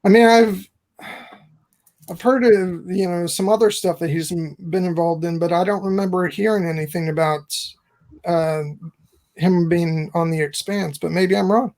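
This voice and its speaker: A man with a notably high voice takes part in a casual discussion. He speaks thoughtfully and sometimes hesitates mid-thought. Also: steady tone, normal speed, darkish tone.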